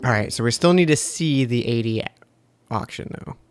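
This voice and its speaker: A man's voice: mid-range voice, normal speed, clean tone, faint music behind. A man in his thirties casually chatting and joking with his chat while streaming a game. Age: 30s. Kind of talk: casual live-stream commentary over gameplay.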